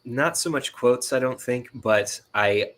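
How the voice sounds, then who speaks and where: A man in his thirties or forties chatting casually as an interview guest, plain-spoken and measured. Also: medium pitch, a bit bright. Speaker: interview guest, writer and sound designer. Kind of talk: casual chat.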